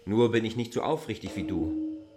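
An actor playing a candid, wry newly arrived land surveyor in a literary radio drama, speaking in a confiding manner. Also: male voice, on the low side, moderate pace, background noise, natural voice.

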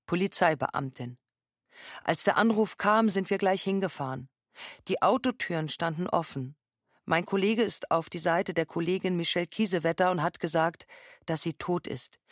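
A woman's voice: fairly low pitch, no echo, clean studio sound, natural voice. An actress playing a sober policewoman testifying in a documentary radio drama, terse and matter-of-fact.

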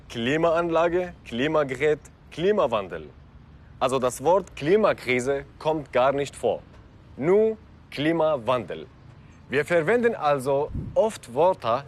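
A man's voice: on the high side, a little hiss or hum. A man presenting a feature report and interviewing guests, speaking German with Kurdish as his first language.

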